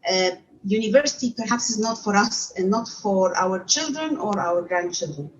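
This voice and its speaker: Egyptian-born researcher woman on a webcam call, arabic is her first language, lots of uh and restarts, middle-pitched voice, kinda dull lo-fi sound. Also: lively intonation, moderate pace, slight background noise. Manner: hesitant, many filler sounds and restarts. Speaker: university researcher. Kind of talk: remote talk to an audience. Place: home room, seated in armchair. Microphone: webcam on video call.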